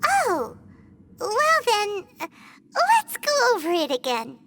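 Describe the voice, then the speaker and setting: A woman voicing a small, excitable, childlike fairy companion in a video game, squeaky and full of exclamations and questions. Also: slow pace, noisy background, full character voice. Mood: puzzled, explaining. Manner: exclamatory, questioning, refers to self in third person. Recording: game music behind the voice.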